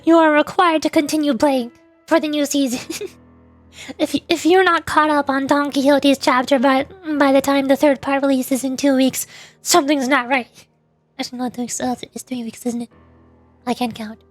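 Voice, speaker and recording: A high-pitched woman talking casually on a livestream, rambling from one thought to the next and replying to her viewers as she goes. Faint music plays quietly behind her voice. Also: fairly even intonation, moderate pace. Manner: rambling, chatty, answers viewers' questions. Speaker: streamer.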